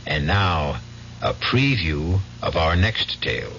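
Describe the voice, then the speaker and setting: An actor as a solemn, philosophical storyteller hosting eerie radio tales, measured, theatrical and wry, in 1970s narration style. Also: male voice, deep voice, very animated, very noisy, heavily acted. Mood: wry, reflective. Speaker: host and narrator of a mystery series. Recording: muffled old radio broadcast.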